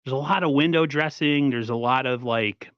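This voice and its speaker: A man co-hosting a casual sports livestream, talking in halting, slangy bursts while he hunts for words. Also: medium pitch, clear, resonant tone, no room sound, highs cut off. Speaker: podcast co-host.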